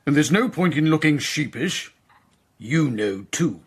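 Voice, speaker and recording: An actor playing a blustering, indignant English duke with a pompous, aristocratic manner in a radio comedy-drama. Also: male voice, on the high side, animated, unhurried, breathy edge. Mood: stern, suspicious.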